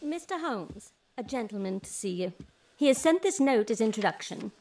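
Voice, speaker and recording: An actress playing a fond, chatty Victorian housekeeper with a homely, folksy manner in an audio drama. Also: female voice, fairly high pitch, very animated, moderate pace, a little mellow. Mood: deferential.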